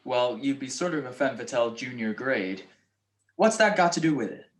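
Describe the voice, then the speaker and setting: Man playing wry, weary detective, lively pitch. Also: moderate pace, natural voice. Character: wry, weary, sleep-loving gentleman detective. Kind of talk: 1940s radio comedy acting.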